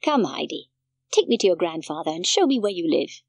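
A woman voicing a gentle, fatherly doctor in a storybook, speaking tenderly and soothingly. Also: lowish voice, sing-song, a little fast, voice pushed down.

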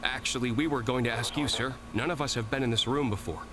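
An actor playing a nervous, obedient guard who answers timidly in a fan audio drama. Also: male voice, mid-range voice, fast, background noise, natural voice. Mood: fearful.

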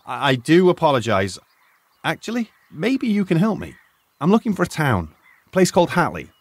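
An actor with a British accent playing a polite, sardonic retired archaeologist in an audio drama, hesitant and curious. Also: male voice, on the high side, wildly swooping pitch, moderate pace, slightly rough, no echo, heavily acted. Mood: polite, persistent. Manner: muttering musings, hesitant, polite. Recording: faint ambient noise behind.

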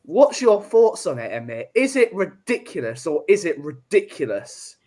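Animated man hosting. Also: a little high, normal speed. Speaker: host. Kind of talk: casual chat show on video call. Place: home room with brick wall.

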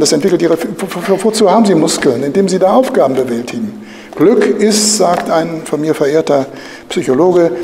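A man's voice: on the high side, creaky, a little mellow. An older man giving an opinionated lecture from a lectern, with frequent fillers and tag questions. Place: bright lecture room, indoors. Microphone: studio mic on a stand at a lectern. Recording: reverberant room, light hiss.

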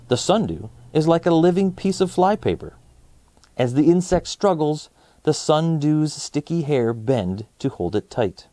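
A man in his thirties hosting a children's read-aloud, presenting nonfiction in a deliberate, emphatic storytelling way. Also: medium pitch, dark tone, dry recording.

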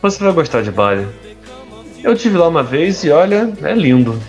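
A man's voice: on the high side, sing-song, moderate pace. A young man playing an evasive, troubled rich playboy in an audio drama. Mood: evasive, affectionate. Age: young adult. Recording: music under the voice.

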